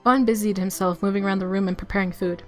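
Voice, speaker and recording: A woman reading visual novel narration aloud in an expressive, easily flustered way, as a let's play streamer. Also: mid-range voice, fast talker. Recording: music playing behind the voice. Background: game music.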